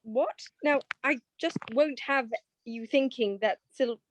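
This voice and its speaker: A woman's voice: high voice, takes it slowly. A woman playing an anxious, proper married client, hesitant and flustered, in a 1940s-style detective radio play. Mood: flustered, defensive. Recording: faint noise, home video-call recording.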